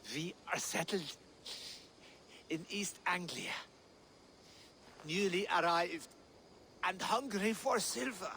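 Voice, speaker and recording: Very slow, gravelly captive raider. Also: male voice, high-pitched, bright, forceful tone, slight background noise, full character voice. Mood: guarded, weary. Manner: slow, sighing, halting delivery. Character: defiant wounded captured raider, weary and mocking. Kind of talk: dramatic video game acting.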